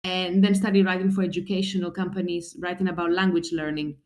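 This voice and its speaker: A woman from Greece in her thirties, a guest in an interview talking about her work, animated and chatty, with fillers, restarts and frequent laughs. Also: fairly low pitch, fairly quick, a crowd in the background. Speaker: guest, translator and travel writer. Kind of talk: conversational interview.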